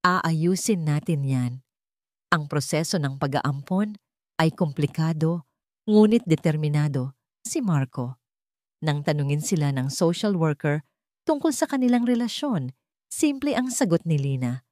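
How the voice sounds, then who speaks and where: A woman's voice: deep voice, expressive, moderate pace, mellow, no room sound, studio quality. A woman with a Filipino accent narrating a scripted dramatic audio story.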